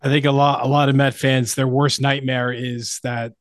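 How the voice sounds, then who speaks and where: A man's voice: medium pitch, level tone, moderate pace, no room sound, clean studio sound. A man hosting a sports podcast, asking questions in a conversational interview style.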